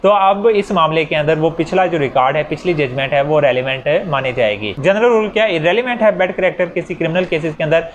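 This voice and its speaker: A Pakistani man with a somewhat high-pitched voice teaches in an informal lecture style. He explains step by step and poses rhetorical questions as he goes. There is light noise and ambience behind his voice. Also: a little fast, hardly any pauses, muffled recording. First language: Urdu. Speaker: law teacher. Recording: noisy recording with background ambience.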